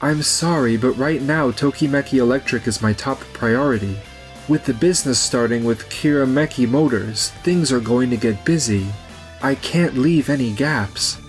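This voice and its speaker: A man's voice: mid-range voice, moderate pace, close to an everyday voice. Man acting earnest young office guy, modest, mid-range male voice, manga dub, light music behind. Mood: earnest, polite.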